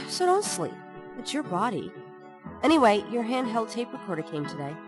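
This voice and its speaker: A dry, teasing young shop assistant from an adventure game, her voice pitched fairly high and moving a lot. Her lines come with sarcasm. Game music plays loudly behind her. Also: huge pitch swings, normal speed. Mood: sarcastic.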